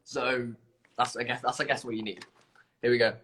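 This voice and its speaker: A man's voice: medium pitch, steady tone, moderate pace, sharp tone, slight background noise. A man hosting an informal live competition stream, chatty and self-deprecating, bumbling along as he presents. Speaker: competition livestream host.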